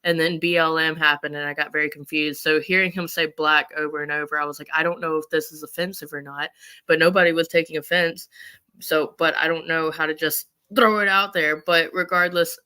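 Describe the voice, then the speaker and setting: A bright, smooth mid-pitched voice in casual conversation on a talk show. The speaker rambles through personal stories and acts out bits of past conversations. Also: little pitch movement, fairly quick.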